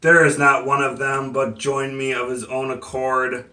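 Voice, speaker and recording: Man acting a slow, forceful condemned abolitionist captain. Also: mid-range voice, flat delivery. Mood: firm, solemn. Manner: halting, emphatic oratory with stumbles. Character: defiant, devout condemned abolitionist captain addressing the court. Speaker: poet and playwright performing a monologue. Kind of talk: dramatic courtroom speech, theatrical monologue. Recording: echoey room, distant microphone.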